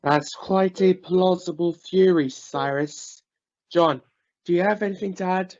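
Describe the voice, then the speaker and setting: A man's voice: high-pitched, a little slow, darkish tone, lo-fi sound. A man playing an exasperated, suspicious space crewman in an amateur radio drama, questioning emphatically. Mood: puzzled, probing. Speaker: amateur radio play actor.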